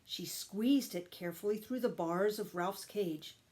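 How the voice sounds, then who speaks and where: A woman reading a children's story aloud with expressive, deliberate emphasis. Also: mid-range voice. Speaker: storytime reader, teacher.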